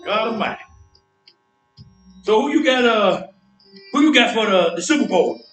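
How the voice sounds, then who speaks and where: Echoey stage play, man acting cocky older american barber, really high voice, folksy and animated, repeats stuff. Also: normal speed, soft background music. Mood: joking, chatty. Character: boastful, teasing older barbershop owner and former boxer. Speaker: stage actor. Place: indoor theatre stage, picked up from afar. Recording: echoey stage hall, distant microphone.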